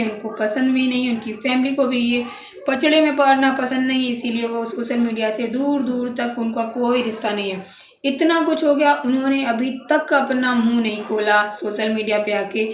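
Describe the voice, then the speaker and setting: A woman's voice: on the high side, steady tone, moderate pace. A woman giving informal gossip commentary to her viewers, speaking in a repetitive, emphatic and disapproving way. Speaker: social media commentator. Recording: roomy echo, distant mic, background noise.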